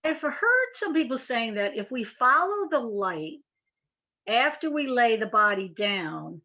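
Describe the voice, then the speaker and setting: Woman caller, light Southern-Jersey accent, bright tense voice, lo-fi line. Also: on the high side, very animated, a little slow. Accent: light Southern US mixed with New Jersey. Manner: earnest, a bit hesitant, repeats words. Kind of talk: call-in question.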